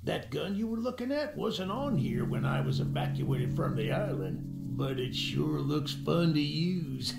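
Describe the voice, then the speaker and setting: A man's voice: high voice, gravelly, heavily acted. An actor voicing an eager, friendly older monster-hunting man in a video game, with a folksy, theatrical way of talking. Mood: cheerful. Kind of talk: video game cutscene acting. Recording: roomy echo with music underneath.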